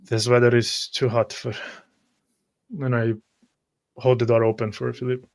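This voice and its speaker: A man playing a tabletop game, describing his character's actions haltingly, often repeating words. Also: mid-range voice, completely flat delivery, creaky, a little mellow. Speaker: tabletop player. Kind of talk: descriptive roleplay narration.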